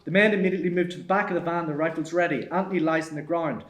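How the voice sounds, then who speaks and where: Casting: male narrator for screenplay action lines, higher-pitched, recorded with clear room echo. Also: steady tone, rapid-fire, fairly bright tone. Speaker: narrator reading stage directions. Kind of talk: scripted screenplay narration at a table read. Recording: echoey room.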